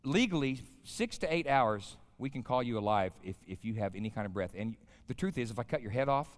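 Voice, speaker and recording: American preacher in his fifties, animated delivery. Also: medium pitch, fairly quick. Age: 50s. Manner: folksy, colloquial, addresses the audience with questions. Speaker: pastor, guest chapel speaker. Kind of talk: informal chapel sermon with storytelling. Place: large indoor chapel, speaking to an audience. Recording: live hall with long reverb.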